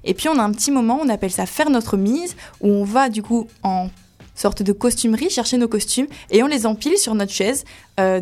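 A woman who works as a cabaret dancer, chatty and explaining her daily routine in detail. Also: mid-range voice. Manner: lively, talkative explainer. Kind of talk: explaining her work in an interview.

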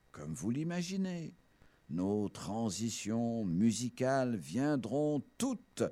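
A man hosting a radio show, chatting with a guest and reading a scripted chronicle in a studio. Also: medium pitch. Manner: expressive, lingering over words. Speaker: radio host. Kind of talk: casual chat, then scripted radio chronicle.

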